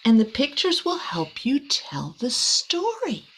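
A woman's voice: high-pitched, wildly swooping pitch, slow, fairly bright tone, a little hiss or hum, pitched above the performer's own voice, heavily acted, poor audio. A woman voicing a helpful castle maid from a storybook, coaxing and instructive in manner. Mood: encouraging.

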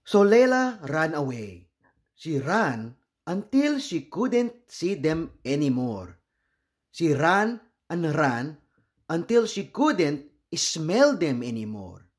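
A man from the Philippines reading a children's picture book aloud in an expressive, deliberate storytelling manner. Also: a little high.

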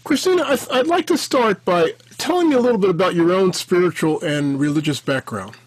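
A man's voice: high voice, animated, brisk, dry recording, low-quality recording. An older man hosting a podcast interview over a video call on a headset. He rambles and often restarts his sentences. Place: home office with bookshelves.

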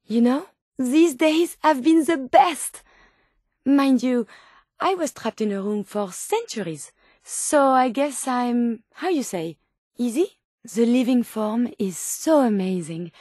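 A female robot scientist from a video game with a French accent. Her voice sits fairly high and sounds close and dry. She speaks formally and precisely, earnest and politely curious. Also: dark tone, no room sound. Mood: tender, wistful. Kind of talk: video game companion voice lines.

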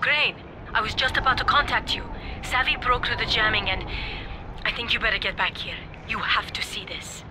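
Woman playing high-voiced insistent contact, noisy background. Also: fairly even intonation, moderate pace, lightly acted. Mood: urgent, serious. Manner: urgent, insistent. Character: serious, insistent female resistance contact on radio. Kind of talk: video game voice acting.

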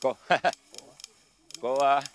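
An actor playing a gentle, patient old man who sells himself to find a caring child, in a television folk-tale drama with a slow, kindly, soft-spoken manner. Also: medium pitch, flat delivery, background noise. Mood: pleading. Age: older. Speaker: old father character.

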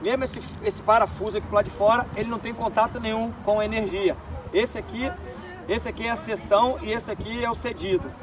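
A man casually demonstrating electrical connectors at an outdoor event, pitching their safety and quality like a salesman. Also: fairly even intonation, fast talker, recorded from a distance, background noise.

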